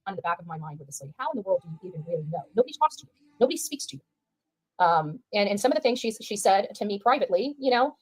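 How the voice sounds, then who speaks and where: A woman's voice: mid-range voice, sing-song, racing, breathy edge, dry recording, low-quality recording. A woman giving informal livestream commentary, emphatic and repeating phrases for stress.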